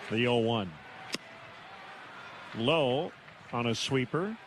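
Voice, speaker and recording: A man doing live baseball play-by-play on the radio in measured, terse calls, with pauses between them. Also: mid-range voice, lively intonation, background noise. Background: outdoor ballpark ambience.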